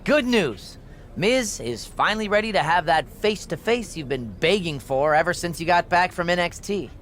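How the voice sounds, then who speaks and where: A woman's voice: fairly low pitch, expressive, normal speed, slight background noise, close to an everyday voice. A woman playing a breezy, smug backstage assistant in a wrestling game, with a chipper, dismissive, needling manner. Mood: reassuring. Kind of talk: acted video game cutscene dialogue.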